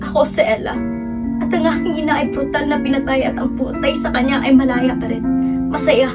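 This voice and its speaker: A woman plays a young activist daughter demanding justice in a Filipino radio play. Her very high voice is impassioned and pleading. She sounds distant from the mic, with loud music behind her. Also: fairly even intonation, fairly quick, lightly acted. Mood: resolute. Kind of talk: Filipino radio drama acting.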